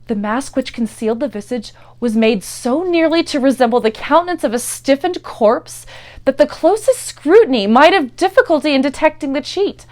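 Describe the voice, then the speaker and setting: A woman giving a dramatic, theatrical narration of a gothic horror story, moving between hushed and intense delivery. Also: high voice. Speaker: actor and performer reading a story.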